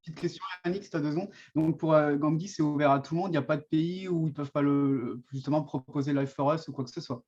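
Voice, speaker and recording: Dull lofi audio, guy with mellow voice asking stuff casually, talks really fast. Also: on the high side, fairly even intonation. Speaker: participant asking questions. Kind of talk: casual conversational questioning.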